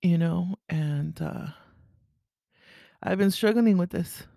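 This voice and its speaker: Woman, raspy mellow voice, slow halting pace, earnest, confessional faith podcast. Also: on the low side, dry recording, studio quality. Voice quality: raspy early-morning voice. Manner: halting, earnest, with throat clearing. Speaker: podcast host.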